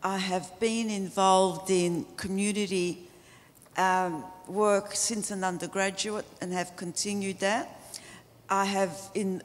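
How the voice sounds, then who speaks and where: A woman in her sixties, born in Greece and raised in Australia, a lawyer introducing herself in a steady, businesslike way. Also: fairly low pitch. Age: 60s. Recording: echoey hall with faint noise.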